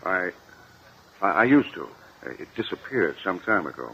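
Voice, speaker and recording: An actor playing an uneasy, evasive businessman, halting and defensive, in a 1950 radio courtroom drama. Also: low-pitched, big pitch swings, creaky, a bit bright, full character voice. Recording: muffled, hissy old radio recording.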